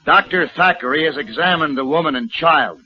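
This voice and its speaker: A man playing a calm, resolute and wryly gallant gunman in a 1950s western radio drama. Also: on the high side, fairly quick, close to an everyday voice. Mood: impassioned, indignant. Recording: muffled, noisy vintage radio recording.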